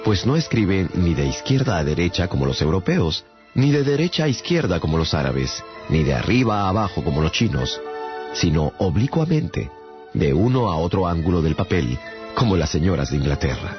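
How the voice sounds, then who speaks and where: A man's voice: sits low, normal speed. An actor playing an earnest, courteous traveler, a giant among tiny people, telling his adventures in a radio drama. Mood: storytelling. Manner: courteous, earnest, expressive storyteller. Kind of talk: storytelling narration in radio drama. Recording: dull sound with music behind.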